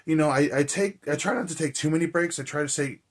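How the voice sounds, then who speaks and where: A man with a hoarse, raspy voice, chatting casually and rambling with lots of fillers as a movie vlogger. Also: on the high side, fast talker. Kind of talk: casual chat.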